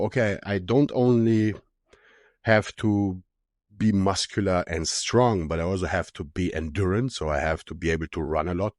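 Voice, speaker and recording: A man's voice: low voice, no echo, clean studio sound. A man in his thirties with an Austrian German accent, raised in Austria after being born in Romania, answering interview questions casually with many fillers and restarts. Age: 30s. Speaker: guest, strongman athlete.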